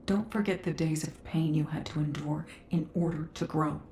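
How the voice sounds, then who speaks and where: Woman acting composed female researcher, low-pitched, measured, earnest, formal, game dialogue, echoey with faint ambience. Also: steady tone, dark tone, a little hiss or hum, lightly acted. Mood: earnest, reassuring. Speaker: voice actor.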